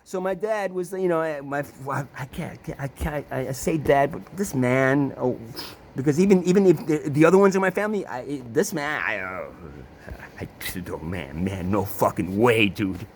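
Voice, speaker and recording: A man's voice: mid-range voice, expressive, moderate pace, a little mellow, slight background noise. A man telling a personal family story in casual talk, stumbling over restarts and repeated words, swearing often and tossing in sarcastic rhetorical questions. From Italy. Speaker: storyteller recounting his family.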